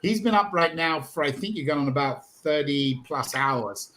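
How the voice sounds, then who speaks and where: A man's voice: on the high side, moderate pace, a bit bright. A man hosting a live charity video call, chatty and upbeat as he introduces guests. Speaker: livestream host.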